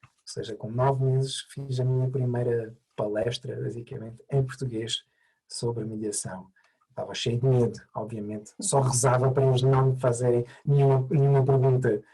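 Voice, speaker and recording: A man's voice: medium pitch, flat delivery, normal speed, mellow, husky, rough recording. A man who learned Portuguese as an adult, by birth, answering interview questions thoughtfully with many hesitations and restarts. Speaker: mediator, interview guest.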